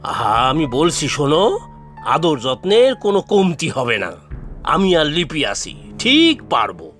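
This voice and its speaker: A man's voice: on the high side, wildly swooping pitch, normal speed. A man voicing a kindly, encouraging older father-in-law in a family cartoon, coaxing and reassuring. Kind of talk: animated family cartoon dialogue. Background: soft background music.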